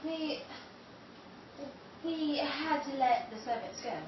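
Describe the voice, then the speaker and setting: A woman's voice: high voice, takes it slowly, heavy reverb, far from the mic, dull audio, a little hiss or hum. An actress playing a scheming, defensive married woman who stammers evasively, in a 1930s-style radio drama. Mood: guarded.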